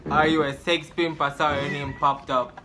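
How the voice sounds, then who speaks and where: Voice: mid-range voice, flat delivery, moderate pace. A young adult with a Jamaican accent, chatting casually and jokingly with friends during prank calls. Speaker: prank caller. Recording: noisy home recording, ambient noise.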